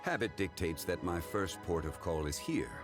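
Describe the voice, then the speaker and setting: A calm, learned gentleman of ancient bearing from a video game. His voice sits low, and he talks with formal, reflective poise. Music plays prominently behind him. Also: normal speed. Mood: genial, leisurely. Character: calm, erudite, ancient gentleman consultant of leisure.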